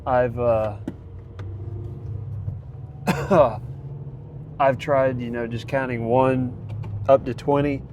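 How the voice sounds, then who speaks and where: A man's voice: medium pitch, fairly even intonation, very slow, pauses often, darkish tone. A man with a congested voice giving a rambling, casual vlog update on his training, full of fillers and restarts. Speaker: vlogger tracking a training program. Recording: noisy outdoor recording.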